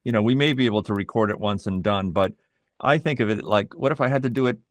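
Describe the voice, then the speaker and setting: A middle-aged man being interviewed as a voice actor, explaining things in a measured, reflective way and pausing to find his words. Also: lowish voice, no room sound.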